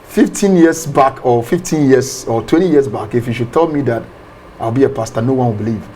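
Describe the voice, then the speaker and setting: Animated pastor explaining. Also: male voice, medium pitch, fairly quick, slight background noise. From Ghana. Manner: animated, repeats words, acts out examples. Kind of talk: explanatory interview talk.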